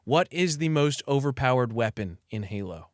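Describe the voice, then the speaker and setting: A man's voice: medium pitch, lively intonation, moderate pace, no echo, studio quality. A man interviewing a guest, eager and enthusiastic. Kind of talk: casual interview.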